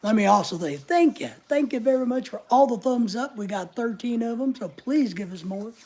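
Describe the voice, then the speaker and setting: A middle-aged man with a voice high enough that strangers take it for a woman's. He chats loosely with viewers, joking at his own expense. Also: animated, moderate pace, a little mellow, slight background noise. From United States. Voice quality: high voice often mistaken for a woman's. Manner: chatty, joking, self-mocking. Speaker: channel host reading live chat. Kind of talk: casual livestream chat.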